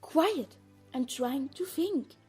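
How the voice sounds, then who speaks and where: A woman's voice: high-pitched, animated, slow, dark tone, soft background music. A woman playing an anxious, bossy teenage witch girl in an audio drama. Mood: tense, commanding.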